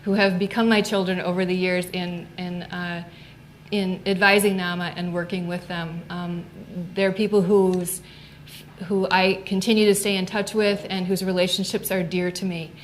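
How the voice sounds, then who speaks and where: A woman's voice: fairly low pitch, little pitch movement, normal speed, a little hiss or hum. A woman, a student club advisor, giving an upbeat, fluent seminar talk in a lecture room.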